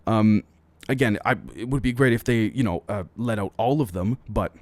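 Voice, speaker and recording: A man's voice: fairly low pitch, moderate pace, a little mellow, no echo. Man giving opinionated news commentary, dry sound. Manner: opinionated, speculative, conversational; frequently addresses listeners directly. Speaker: podcast host. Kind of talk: solo news commentary podcast.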